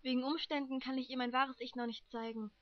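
A young woman in an amateur anime fandub voicing a gentle, secretive long-haired youth with composed delivery. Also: high voice, fairly even intonation, brisk, no echo, natural voice. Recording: muffled, dry home microphone.

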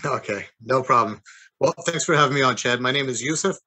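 A middle-aged man from New Jersey, an interview guest telling a personal story casually, halting and repeating words. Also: medium pitch, pushed and bright, dry recording. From New Jersey, United States. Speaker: interview guest, former prisoner. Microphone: video call.

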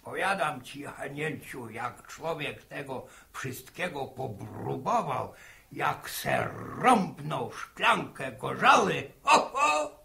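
Creaky, folksy old village grandpa. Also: male voice, fairly high pitch, big pitch swings, sharp tone, exaggerated voice. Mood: relishing. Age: older. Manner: folksy, halting, repeats himself. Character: jovial old village grandfather, full of sayings. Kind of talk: 1960s Polish radio drama acting.

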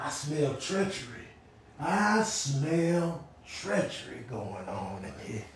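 A man voicing a suspicious, vengeful cat in a comic voiceover, making drawn-out threats in a hushed tone. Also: a little high, big pitch swings, echoey room, a little hiss or hum. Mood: menacing. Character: menacing, suspicious cat plotting against a kitten.

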